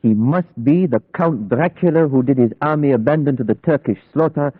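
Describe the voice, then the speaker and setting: A man's voice: mid-range voice, moderate pace, close to an everyday voice. A man playing a grave, learned vampire hunter in an old-time radio play, speaking formally and solemnly with oratorical, archaic phrasing. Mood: solemn resolve. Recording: muffled band-limited radio recording, long echoing reverb.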